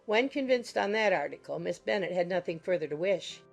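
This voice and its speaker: A woman's voice: on the low side, animated, fairly quick, vocal fry, soft background music. A woman narrating a classic novel as a scripted audiobook.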